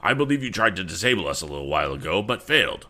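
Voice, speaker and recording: A man's voice: sits low, big pitch swings, rapid-fire, sharp tone, exaggerated voice. A man voicing an imperious, theatrical naval officer in an audiobook, barking orders in a declamatory way. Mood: imperious, menacing. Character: imperious, gold-laced Brazilian naval officer, commanding and theatrical.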